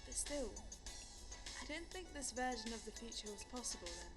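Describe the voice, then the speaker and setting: A woman's voice: fairly high pitch, big pitch swings, normal speed, music in the background. An actress playing a playful, sincere young woman radio co-host, chatting and teasing in an audio drama. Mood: reflective. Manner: teasing, conversational. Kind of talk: audio drama banter between radio co-hosts.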